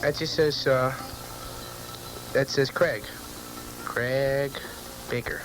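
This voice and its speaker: A man's voice: medium pitch, fairly even intonation, very slow, background noise. A man playing a tipsy, goofy young beer drinker who rambles and brags, in a comic interview sketch. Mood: proud, goofy. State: drunk. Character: tipsy goofy young beer-chugging guy bragging about stunts.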